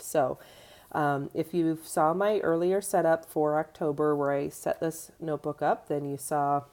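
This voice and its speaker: Woman, 30s, low mellow voice, getting over a cold, chatty home vlogger with plenty of fillers. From United States. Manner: chatty, with fillers and small self-corrections. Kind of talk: casual show-and-tell. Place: home kitchen.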